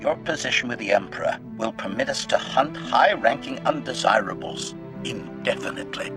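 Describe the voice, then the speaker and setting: An actor voicing a cold, bloodthirsty but loyal assassin droid in a video game, speaking formally and deadpan through a robotic filter. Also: male voice, mid-range voice, normal speed. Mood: proud, menacing. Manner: formal, clipped, announces each statement with a label. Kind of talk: scripted video game acting. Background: background music.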